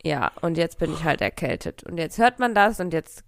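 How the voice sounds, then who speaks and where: A woman's voice: deep voice, sing-song, moderate pace, creaky, dry recording. A young woman with a cold, chatting casually as a podcast co-host at a close mic, rambling with fillers and frequent laughs. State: has a cold. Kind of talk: casual chat. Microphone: close studio mic.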